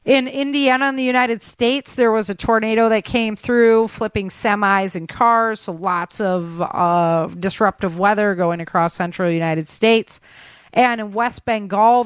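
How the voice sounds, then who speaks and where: A woman's voice: medium pitch, smooth, no room sound. A woman hosting a talk show, running through news updates in a chatty, informal way. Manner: chatty, informal, addresses listeners as guys. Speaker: show host.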